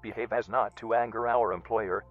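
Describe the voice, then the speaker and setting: A man playing a cold masked male kidnapper, delivering flat, menacing threats in a video message. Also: on the low side, normal speed, lightly acted. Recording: muffled video playback with music.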